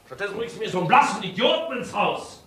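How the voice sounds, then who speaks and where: A crude middle-aged father in a crime radio play, full of contempt. His voice is extremely high for a man and leaps about wildly, with a roomy sound around it. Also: lively intonation, quick pace, a little hiss or hum. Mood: scornful rage. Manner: shouting, sneering, coarse.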